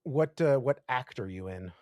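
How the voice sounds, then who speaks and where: A man's voice: mid-range voice, lively intonation, normal speed, darkish tone, dry recording, studio quality. A man co-hosting a casual podcast, chiming in with short reactions, questions and teasing jabs. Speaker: podcast co-host.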